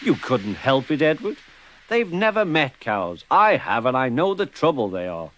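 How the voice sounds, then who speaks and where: A man's voice: mid-range voice, sing-song, normal speed, heavy background noise, natural voice. A man voicing a small, cross but loyal male tram engine in a storybook, speaking firmly.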